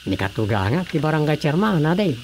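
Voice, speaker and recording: A man's voice: on the high side, very animated, fairly quick. A man playing a chatty, inquisitive village councillor with a Filipino accent in comedic radio drama dialogue. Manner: questioning, conversational. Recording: faint background noise.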